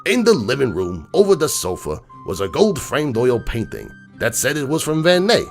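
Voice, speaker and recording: A man playing a gruff, streetwise crook horse uncle who tells bedtime stories in a slangy, cynical, digressing way. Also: medium pitch, wildly swooping pitch, normal speed. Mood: sly. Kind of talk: dramatic reading of a comic bedtime story. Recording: music bed behind the voice.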